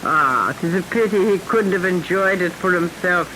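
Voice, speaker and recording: An actress playing a chatty middle-aged Irish aunt in a radio drama, reminiscing fondly with an Irish accent. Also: female voice, fairly low pitch, moderate pace, close to an everyday voice. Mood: fond, nostalgic. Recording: hissy old radio drama broadcast.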